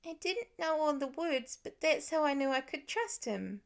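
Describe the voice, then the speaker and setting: Small boy, five, earnest and insistent, high voice, played by a woman narrator, audiobook. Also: animated, normal speed, vocal fry. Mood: insistent, upset. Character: stubborn, earnest five-year-old boy, tired and pouty. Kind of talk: audiobook character voice in fan fiction.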